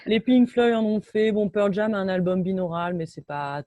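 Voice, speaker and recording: A woman's voice: medium pitch, expressive, moderate pace, dark tone. A woman sound engineer giving an enthusiastic, casual technical talk over a video call, often hesitating and restarting her sentences. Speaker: binaural sound engineer, guest speaker. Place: home, in Brittany.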